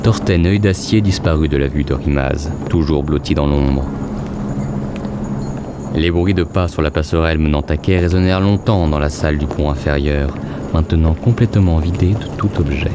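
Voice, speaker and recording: A man's voice: a bass voice, normal speed, very noisy. A man narrating a scripted fantasy audio drama in a literary, ominous storytelling manner.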